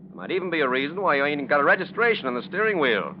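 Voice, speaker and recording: An actor playing a brusque, sardonic, suspicious police officer in a 1940s radio drama, talking in tough slang. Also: male voice, on the high side, sing-song, rapid-fire. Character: gruff, streetwise, suspicious male police officer. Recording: muffled, noisy old radio recording.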